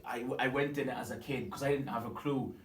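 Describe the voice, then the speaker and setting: A man in his twenties from Tanzania, telling his story in a casual interview, animated and full of fillers and restarts. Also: medium pitch, fairly quick. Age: 20s. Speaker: business owner interviewed. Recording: echoey room, mic at a distance, faint ambience.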